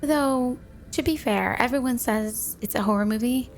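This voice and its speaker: Woman voicing a yandere snow woman, highish pitch, coy, affectionate, clingy, ASMR roleplay, faint music underneath. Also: close to an everyday voice. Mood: dreamy, obsessive. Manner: sugary, coy, affectionate, unhurried. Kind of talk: ASMR romantic roleplay, addressed to the listener.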